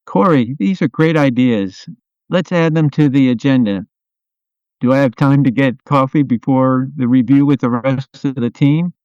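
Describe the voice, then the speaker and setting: A man plays an agreeable male office worker who is tired of meetings, in a radio play. His pitch is average, and the recording is dry and a little dull. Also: moderate pace, a little mellow, clear, resonant tone, dry recording, close to an everyday voice. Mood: agreeable, weary. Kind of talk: amateur radio play dialogue.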